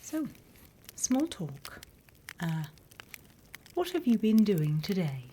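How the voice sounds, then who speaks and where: A British woman voicing a prim demon teacher in a soft, deliberate whispered ASMR roleplay. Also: mid-range voice, sing-song, slight background noise, pitched above the performer's own voice. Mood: encouraging. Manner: soft, deliberate, instructional, with pauses. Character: female demon teacher, softly menacing, encouraging, prim.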